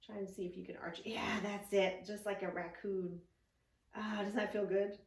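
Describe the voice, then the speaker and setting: A woman leads a children's read-along mixed with simple yoga instructions. She is gently encouraging and full of praise, with a mid-pitched voice. The recording is echoey, picked up some way from the microphone. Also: fairly even intonation, normal speed. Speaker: storyteller and children's yoga teacher. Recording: roomy, echoing, distant microphone.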